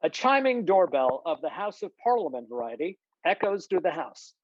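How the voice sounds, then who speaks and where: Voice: mid-range voice, sing-song, normal speed, no echo. Screenplay narrator, slightly dull dry recording. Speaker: stage-direction narrator. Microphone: video call. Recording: slightly dull video-call audio.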